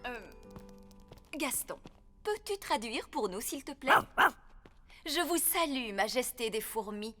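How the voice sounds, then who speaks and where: A woman's voice: a little high, animated, takes it slowly, long pauses, long reverb tail, soft background music. A woman voicing a bossy, confident fairy nanny in a children's cartoon, with a theatrical, fussy manner. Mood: fussy, matter-of-fact. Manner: theatrical, self-assured, fussy.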